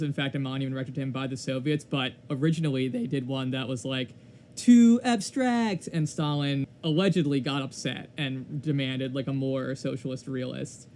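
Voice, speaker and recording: A man's voice: medium pitch, a little mellow, a little hiss or hum. A man co-hosting a podcast, chatting loosely and hesitantly with many "uh"s and "like"s. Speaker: podcast co-host. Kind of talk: casual chat.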